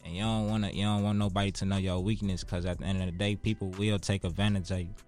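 Young chicago guy w a lowish voice, interview guest talkin street slang, cusses, repeats stuff for emphasis, music playin behind. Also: little pitch movement, fast talker, a little mellow. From Chicago, United States. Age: 20s. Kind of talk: casual interview talk.

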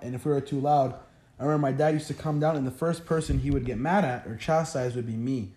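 A young man in his first year of college answers questions in a relaxed, talkative way, often leaning on "like." His voice is warm and mellow, and his first language is Arabic. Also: medium pitch. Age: young adult. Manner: chatty, frequent "like" fillers. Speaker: interviewee, college freshman. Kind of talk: casual interview answers.

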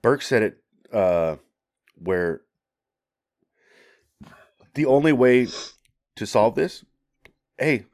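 A man's voice: medium pitch, lively intonation, very slow, pauses often, a little mellow, dry recording, clean studio sound. A man co-hosting a podcast who talks casually, swears, and mostly agrees, with a stuffed-up nose. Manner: laid-back, profane, agreeing.

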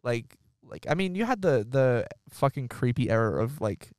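A man hosting a podcast, telling animated stories in casual chat and swearing freely. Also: mid-range voice, moderate pace, smooth, no room sound, clean studio sound. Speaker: podcast host.

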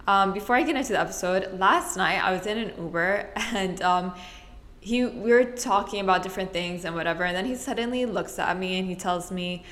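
A woman's voice: mid-range voice, fairly quick, fairly bright tone. A woman from the Middle East hosting a casual solo podcast, chatty and rambling, often correcting herself mid-sentence. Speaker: podcast host. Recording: roomy echo.

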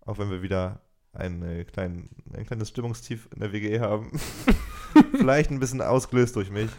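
A man's voice: fairly low pitch, moderate pace, mellow. A young man co-hosting a casual podcast, joking and laughing often, rambling with restarts. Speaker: podcast co-host. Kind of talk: casual chat.

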